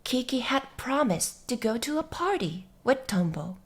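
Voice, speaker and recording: A woman who is a native Japanese speaker, softly and carefully reading a story aloud in a slow, measured way for English learners. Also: on the high side, very animated. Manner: soft, measured, careful phrasing. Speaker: English teacher and channel host. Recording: music behind the voice. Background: background music.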